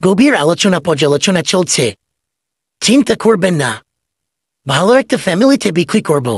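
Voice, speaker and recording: A man acts out a sly cartoon goat thief. His voice is husky and swings up and down as he pours out glib salesman patter. The sound is dry and close. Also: high-pitched, big pitch swings, normal speed, dry recording, full character voice. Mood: glib, persuasive. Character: sly, fast-talking male goat thief and swindler. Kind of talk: comedy cartoon voice acting.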